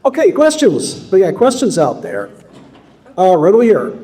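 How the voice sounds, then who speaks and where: Guy running the panel q&a, high animated voice, kinda mellow. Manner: brisk, calling on the audience. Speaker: panel moderator. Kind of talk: moderating a live Q&A. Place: convention panel room.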